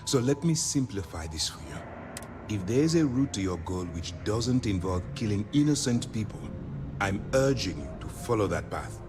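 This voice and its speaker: An actor playing a stern, measured military commander in a video game, speaking with grave, deliberate authority. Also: male voice, on the low side, sing-song. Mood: earnest urging. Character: stern, measured male military commander briefing an undercover operative. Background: background music.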